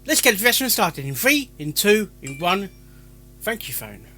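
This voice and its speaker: A man hosting a reaction, giving casual, excitable commentary with playful repeated interjections. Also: high voice, normal speed, faint music behind. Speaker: reaction host. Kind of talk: excited casual commentary over a fan fiction reading.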